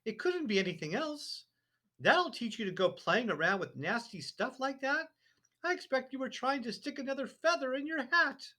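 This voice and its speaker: A man's voice: very high-pitched, expressive, moderate pace, pushed and bright, pitched above the performer's own voice, exaggerated voice. A man voicing a bossy, know-it-all mother who scolds her husband primly. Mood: prim scolding. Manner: prim, scolding.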